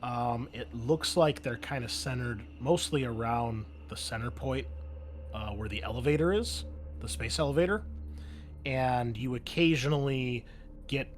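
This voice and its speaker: A man's voice: medium pitch, unhurried, soft background music. A man working as game master, explaining a tabletop roleplaying scenario in an improvised, explanatory way.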